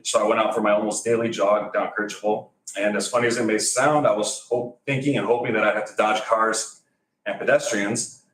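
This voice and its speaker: A man's voice: lowish voice, a bit bright. A man from Greece in his forties giving a prepared public comment at a city meeting, firm and a little wry. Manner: wry, firm, reads from notes. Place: council chamber. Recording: echoey chamber, distant mic.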